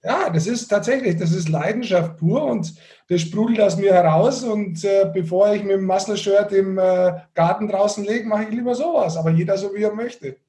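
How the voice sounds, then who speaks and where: A man's voice: high voice, normal speed, mellow, a little hiss or hum. A man hosting a sports podcast, chatting casually with a teasing, sarcastic manner. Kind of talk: casual bantering podcast chat.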